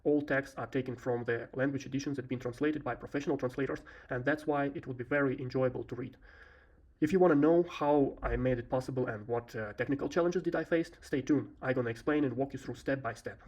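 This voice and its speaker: A man in his thirties with a Russian accent walks through a coding demo in an unhurried, explanatory way, pausing often with filler sounds. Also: mid-range voice, darkish tone, muffled recording. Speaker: software developer presenting a demo. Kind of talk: screen-recorded coding walkthrough.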